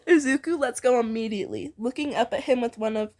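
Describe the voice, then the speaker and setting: Woman, high voice, casual story read-aloud, giggly, stumbles over lines. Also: moderate pace, a little mellow. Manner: giggly, breaks into laughter, stumbles over the text. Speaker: fan fiction co-reader.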